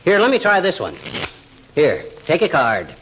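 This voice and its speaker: A man's voice: a little high, wildly swooping pitch, normal speed, a little hiss or hum. An actor playing a boastful, bumbling husband in a 1940s radio comedy, blustery and folksy, chuckling at his own jokes. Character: boastful bumbling middle-aged husband, tall-tale teller. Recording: dull old radio recording, studio audience laughter.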